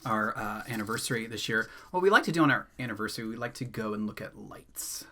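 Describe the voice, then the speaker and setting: A man's voice: fairly low pitch, animated, sharp tone. A man in his thirties co-hosting a book channel, chatting casually and adding short, dry asides. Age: 30s. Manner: brief, dry asides with quick back-channel replies. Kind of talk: casual chat.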